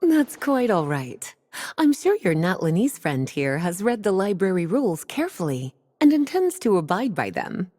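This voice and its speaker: An actress playing a playful, unhurried woman librarian in a fantasy video game, with coy, teasing politeness. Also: medium pitch, big pitch swings, warm tone. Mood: teasing, welcoming.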